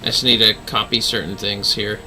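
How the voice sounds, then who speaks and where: Male game streamer, low-pitched but very bright and punchy, apologetic, sweary casual chat, faint music underneath. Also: little pitch movement, brisk, low-quality recording. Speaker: livestream host playing a video game.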